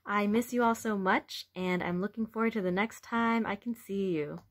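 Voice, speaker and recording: A woman's voice: medium pitch, moderate pace. A young woman speaking to children as an educator during a read-aloud, recorded indoors at home on a device at arm's length. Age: young adult. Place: small room at home, indoors.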